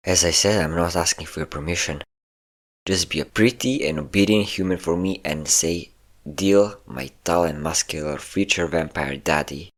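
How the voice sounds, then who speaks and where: A man's voice: deep voice, moderate pace, vocal fry. A man voicing a possessive, sadistic and flirtatious vampire captor in an intimate roleplay audio drama, teasing and commanding. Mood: smug, commanding. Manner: teasing, commanding, theatrically smug.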